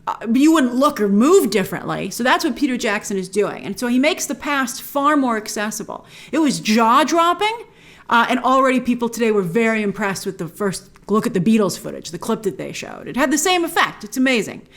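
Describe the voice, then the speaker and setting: A woman hosting an entertainment reaction show, giving excitable, emphatic, chatty and informal commentary. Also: on the high side, brisk.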